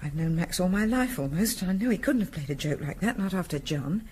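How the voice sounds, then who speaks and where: An actress playing a tense, suspicious wife in a 1970s radio drama, pointed and insistent, repeating herself. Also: female voice, fairly low pitch, expressive, brisk, a little mellow, a little hiss or hum, lightly acted. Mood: agitated, insistent.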